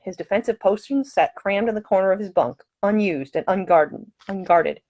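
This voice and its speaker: A woman's voice: medium pitch, moderate pace, highs cut off. A woman reading her own novel aloud as scripted audiobook narration.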